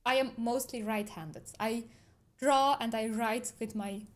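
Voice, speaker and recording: A woman's voice: a little high, steady tone, unhurried. A woman, chatting casually on a livestream, reading viewer comments aloud and telling rambling stories with frequent laughs. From Switzerland. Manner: chatty, rambling storyteller who laughs often.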